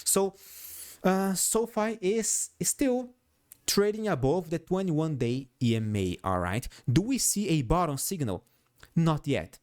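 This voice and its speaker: A man's voice: fairly high pitch, a little slow. A Brazilian man with a Portuguese accent, a non-native English speaker hosting stock chart analysis, who often repeats himself and adds "all right?" to his points. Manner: animated, repeats and restarts phrases, frequent "all right?" tag. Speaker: host.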